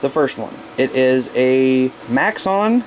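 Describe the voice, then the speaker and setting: A man's voice: medium pitch, animated, slow pace, a little mellow, slight background noise. A man chatting in a folksy, informal way as he casually shows off his hobby gear. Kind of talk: casual show-and-tell vlog.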